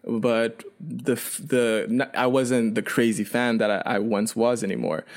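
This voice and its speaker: A man in his twenties, a native Chinese speaker raised in Canada, telling his story as a podcast guest in a relaxed, rambling way. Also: mid-range voice, unhurried. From China, raised in Canada. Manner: relaxed, rambling, frequent fillers and restarts. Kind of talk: casual storytelling.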